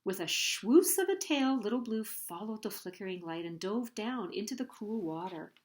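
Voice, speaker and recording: A woman hosting storytime, reading a children's picture book aloud in an expressive, deliberate way. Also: mid-range voice, background noise.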